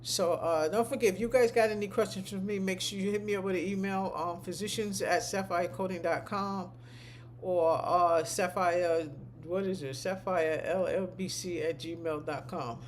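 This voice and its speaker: Deep-voiced woman chatting to her class. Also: fairly even intonation, moderate pace, a little hiss or hum. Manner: chatty, rambling, repeats points for emphasis. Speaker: medical coding instructor. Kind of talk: casual live-stream class chat.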